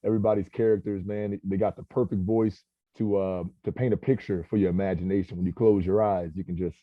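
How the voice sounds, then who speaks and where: A man's voice: low-pitched, steady tone, no room sound. A man in a casual feedback chat, stumbling through restarts and dropping "man" into nearly every sentence. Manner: halting restarts, keeps saying "man". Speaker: cast member of a table read. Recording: dull, dry lo-fi audio.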